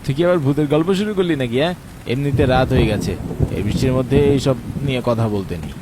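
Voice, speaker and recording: A man's voice: medium pitch, fast, very noisy, lightly acted. A man playing a reflective narrator and wary friend, telling an atmospheric scripted ghost story. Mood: bantering. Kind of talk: atmospheric scripted horror storytelling with dramatised dialogue. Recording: rain and thunder behind the voice. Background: rain, thunder.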